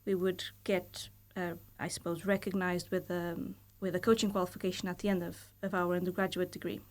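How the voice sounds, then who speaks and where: A woman in her thirties from Portugal with a Portuguese accent, a university lecturer speaking as a guest in a casual podcast interview. She talks in a thoughtful, measured way, often hedging with fillers. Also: on the low side, flat delivery, darkish tone. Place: podcast studio.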